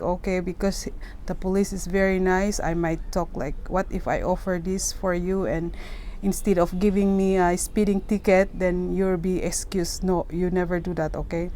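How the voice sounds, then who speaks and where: A woman's voice: lowish voice, dark tone. Filipino-accented woman in her middle years, earnest vlog advice, faint hiss behind. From Philippines. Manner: earnest, repeats herself, keeps asking "okay?". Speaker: vlogger giving advice. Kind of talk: casual advice talk to camera.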